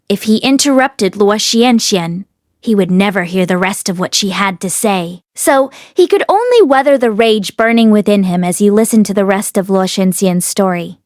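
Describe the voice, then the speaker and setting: A woman reading an audiobook as dramatic storytelling, with expressive, emotive delivery. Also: medium pitch, no room sound, clean studio sound. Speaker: audiobook reader.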